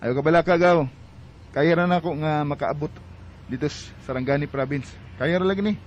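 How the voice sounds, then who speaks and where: Man acting a bashful young Filipino, slightly high voice. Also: a little fast, highs cut off, natural voice. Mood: casual, tipsy. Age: young adult. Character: friendly, shy young man courting, telling his life story. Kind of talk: radio drama acting.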